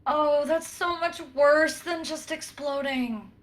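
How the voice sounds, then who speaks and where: Woman acting a high-voiced, huffy science officer. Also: slow pace. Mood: indignant. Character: indignant, pouty, brainy female ship science officer. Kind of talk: comedic sci-fi play acting.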